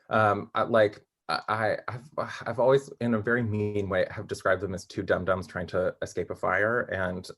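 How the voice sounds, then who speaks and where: A young man being interviewed as a playwright, chatting casually and haltingly, often correcting himself as he thinks aloud. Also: deep voice, a bit bright, husky.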